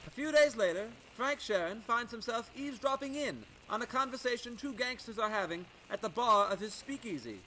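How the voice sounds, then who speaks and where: Very high-pitched male radio narrator. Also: lively intonation, a little fast, few pauses, bright, forceful tone, slight background noise, rough recording. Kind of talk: dramatic old-time radio narration.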